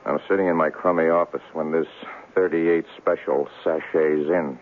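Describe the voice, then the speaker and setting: Cocky tough private eye, deep, slangy, old radio drama sound, pretty muffled. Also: male voice, fairly even intonation, normal speed, faint music behind. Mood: hard-boiled, narrating. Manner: clipped slangy tough-guy talk. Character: tough hard-boiled male private investigator, cynical and cocky. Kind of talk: 1970s radio drama acting, noir narration.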